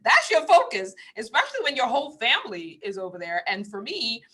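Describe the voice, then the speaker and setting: A woman with a lilt talks casually to her live-stream audience in a bright, clear tone. She rambles from thought to thought, laughs often and drops into hushed asides. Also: on the high side, big pitch swings, brisk. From Trinidad. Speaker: spiritual teacher on a live stream.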